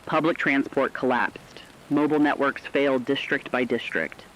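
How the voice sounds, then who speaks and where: A man's voice: mid-range voice, steady tone, normal speed, fairly bright tone, slight background noise, close to an everyday voice. A man playing a serious radio correspondent, giving an urgent, dramatic scripted report on a disaster. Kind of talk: scripted breaking news report. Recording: dull lo-fi radio sound.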